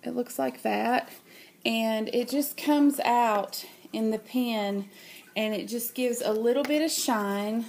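Slow-talking nasal woman with a cold. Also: a little high, gravelly, slight background noise. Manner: hesitant, many ums, gentle and chatty. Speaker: craft vlogger. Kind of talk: casual show-and-tell. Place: home, at a table.

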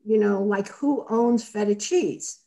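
A woman's voice: mid-range voice, slow pace, dark tone. An older woman whose first language was Armenian talks on a video call from her home kitchen. Her speech is chatty and anecdotal, full of "you know" and "like". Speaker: participant.

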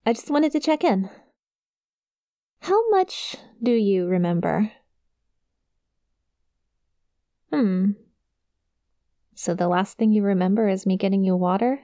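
A woman's voice: mid-range voice, animated, unhurried, pauses often, warm tone, no room sound, clean studio sound. A woman playing a friendly, awkward young succubus demon in an intimate ASMR roleplay, with a shy, casual, hesitant manner. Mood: chatty, awkward. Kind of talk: ASMR roleplay, intimate one-sided conversation to the listener.

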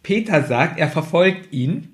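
A man's voice: fairly high pitch, lively intonation, measured pace. A male podcast co-host giving opinions in casual chat, emphatic and repeating phrases to stress them.

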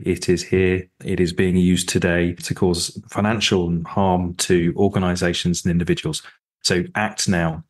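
A man with a very low, deep voice, talking as an interview guest about security. He speaks emphatically, repeating his points and often restarting his sentences with fillers. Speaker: interview guest, cybersecurity executive. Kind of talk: sponsored interview.

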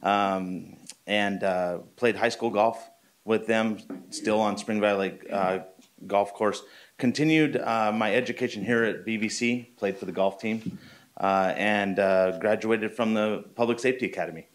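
A man's voice: lowish voice, a little slow. A middle-aged man telling his backstory at a podium microphone in a meeting room, informal and full of filler uhs. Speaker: candidate for community association board. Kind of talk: public comment speech.

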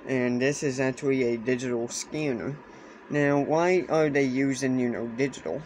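A man giving a casual explainer demonstration in a halting way, often repeating phrases, recorded on a handheld camera indoors. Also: mid-range voice, low-quality recording. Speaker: hobbyist presenter. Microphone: handheld camera or phone. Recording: clear steady background noise, handheld camera.

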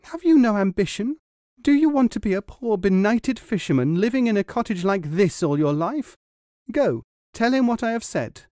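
A demanding wife who is never satisfied scolds in a high, insistent voice, as a man puts her on. The pitch swings widely, and the reading is dry and close. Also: lively intonation, normal speed, a little mellow, dry recording. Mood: angry. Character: greedy, demanding fisherman's wife, never content.

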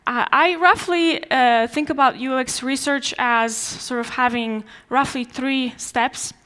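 A woman's voice: high-pitched, slow pace, sharp tone. Polish-accented woman giving a conference talk. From Poland. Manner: conversational with frequent restarts and fillers. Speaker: conference speaker. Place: large dark conference stage before an audience.

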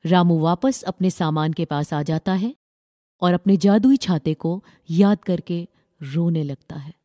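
A woman's voice: lowish voice, a little slow, mellow, dry recording, studio quality. A woman narrating a scripted children's story.

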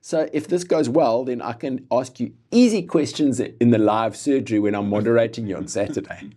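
A man in his thirties hosting a podcast interview, eager and quick to react, often chiming in with wows. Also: mid-range voice, dark tone, husky. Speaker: podcast host. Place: ornate room, seated interview. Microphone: studio microphone on table.

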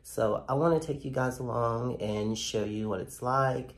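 A man's voice: medium pitch, a little slow. A man in his thirties, a little nasal from a cold, chatting enthusiastically to viewers in a selfie-style vlog. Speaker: vlogger. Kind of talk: casual vlog talk to camera. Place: bedroom indoors, later outdoors on a sidewalk. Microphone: phone at arm's length.

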